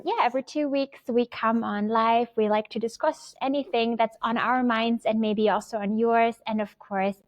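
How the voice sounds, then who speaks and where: A woman's voice: a little high, darkish tone, lo-fi sound. A woman hosting a live podcast, chatty and bubbly, laughing often as she talks. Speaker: podcast host. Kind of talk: casual live-stream chat.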